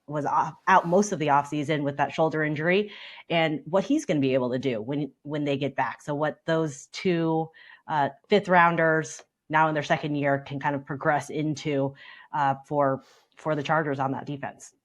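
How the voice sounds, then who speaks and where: A woman with a notably deep voice chats about sport on a panel. She talks like a reporter thinking out loud, in a conversational manner. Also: fairly even intonation, normal speed. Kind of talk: casual sports panel talk.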